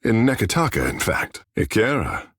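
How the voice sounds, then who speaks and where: Vain godlike fish-man, fantasy game hero, swooping expressive pitch, husky, seductive and boastful storyteller, close dry recording. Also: moderate pace, no echo, full character voice. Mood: flirtatious, boastful. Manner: theatrical, boastful, languid storyteller. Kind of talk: fantasy video game character dialogue.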